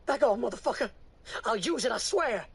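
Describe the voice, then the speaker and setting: A man voicing a frightened, grieving older church woman, high and breaking with tears. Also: expressive, a little fast, vocal fry, a bit bright, husky, exaggerated voice. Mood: panicked.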